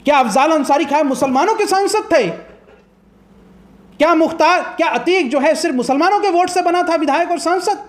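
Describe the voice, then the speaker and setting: A man's voice: extremely high pitch, moderate pace. A man arguing as a political commentator in a heated TV debate, impassioned and combative, firing off rhetorical questions.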